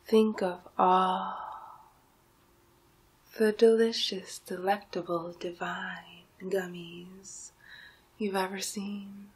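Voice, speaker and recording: A woman in her thirties playing a seductive, coaxing Halloween monster in a breathy whispered ASMR roleplay, drawing out her words close to the microphone. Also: mid-range voice, little pitch movement, heavily acted. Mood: enticing. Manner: slow, coaxing, drawn-out words.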